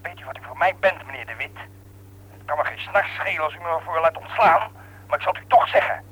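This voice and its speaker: A wronged young man with a distinctly high voice. He is defiant and bitterly sarcastic, throwing accusations at his superior in radio-drama style. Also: lively intonation, fast, fairly bright tone, husky, poor audio. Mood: bitter, accusing. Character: bitter, defiant young male worker who feels betrayed.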